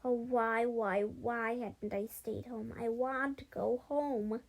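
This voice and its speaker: Woman playing a timid, melodramatic mouse detective, high-voiced. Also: steady tone, slow pace, vocal fry, heavily acted. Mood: wailing, homesick. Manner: melodramatic, exclamatory. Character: timid, easily frightened, dramatic mouse detective.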